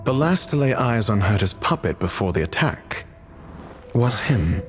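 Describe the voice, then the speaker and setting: A composed, condescending man in a game cutscene. He takes his time over pointed phrases, with very animated intonation at a middle pitch over faint music. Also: a little slow. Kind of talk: scripted video game cutscene acting.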